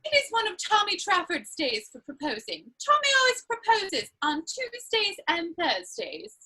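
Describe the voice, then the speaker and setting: A woman's voice: very high voice, huge pitch swings, normal speed, bright, forceful tone, exaggerated voice, rough recording. An actress playing a witty, teasing young society lady in a comedy of manners, with an arch, theatrical and playfully scolding manner. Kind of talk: stage comedy of manners, dramatic reading.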